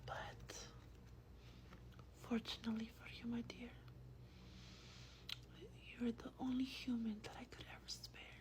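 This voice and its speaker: A woman playing an affectionate vampire in a soft-spoken ASMR roleplay, with a breathy whisper and a tender, unhurried, intimate manner. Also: fairly high pitch, flat delivery, lightly acted. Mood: tender, soothing. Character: affectionate female vampire soothing her human darling.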